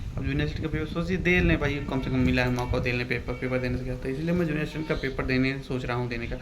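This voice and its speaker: A young man speaks. He talks casually to his viewers, circling back and repeating himself as he offers brotherly advice. Clear ambient noise runs behind his voice. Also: medium pitch, steady tone, racing. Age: young adult. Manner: rambling, repetitive, frequently addressing listeners as brother. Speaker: vlogger, police recruit.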